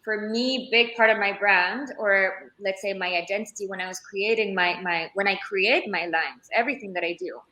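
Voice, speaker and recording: A young woman from Ecuador with a bright, clear voice talks about her craft. She pauses and restarts as she hunts for the right word. The sound is echoey, as if she is on a video call from a room. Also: mid-range voice. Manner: thoughtful, searching for words, many restarts. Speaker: leather craftswoman and entrepreneur. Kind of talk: interview answers. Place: Florence, Italy.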